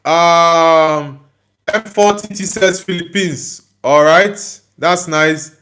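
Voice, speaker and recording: A man's voice: a little high, slow pace, fairly bright tone. A young Nigerian man hosting a livestream. He reads viewer comments aloud, answers each one in a friendly way, and keeps asking for likes and subscribes. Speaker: livestream host reading chat. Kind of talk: casual live chat.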